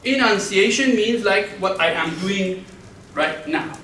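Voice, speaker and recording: High-voiced man lecturing in an echoey classroom. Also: a bit bright. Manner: slow, deliberate, carefully enunciated with frequent restarts. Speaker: university lecturer. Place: university classroom. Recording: echoey classroom from far off, faint background noise.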